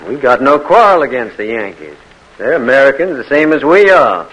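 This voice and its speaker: A principled young gentleman. He speaks calmly and firmly, and his pitch rises and falls expressively. It sounds like a vintage radio play. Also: moderate pace, poor audio. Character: principled young Southern gentleman, loyal to the Union. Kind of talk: 1940s radio acting.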